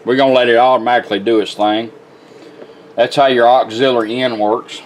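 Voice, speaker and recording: A man's voice: medium pitch, fairly even intonation, fairly quick, a little hiss or hum, rough recording. A plainspoken, folksy man giving a casual product demonstration as a radio restorer. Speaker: radio restorer presenting his work.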